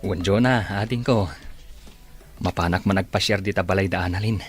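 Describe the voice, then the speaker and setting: A man acting an earnest, shy suitor with a husky voice in a radio drama. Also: sits low, moderate pace, faint music behind, natural voice. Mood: hopeful.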